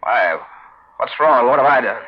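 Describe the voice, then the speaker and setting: A man's voice: medium pitch, expressive, unhurried. An actor playing a bewildered, desperate man telling his own nightmare with intense 1940s radio mystery drama. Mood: alarmed, protesting. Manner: intense, dramatic first-person delivery. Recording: dull vintage 1940s radio recording, music behind.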